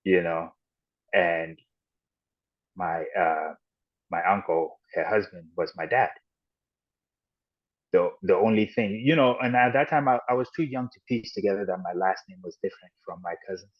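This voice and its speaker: A man raised in Ghana and the United States, a podcast guest recounting his childhood in a halting, reflective way with frequent restarts. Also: sits low. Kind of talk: personal storytelling in an interview. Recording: dull lo-fi audio.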